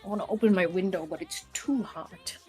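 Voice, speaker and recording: A woman's voice: lowish voice, a little fast, warm tone, faint music behind. A woman chatting casually as a guest in a stream's voice chat.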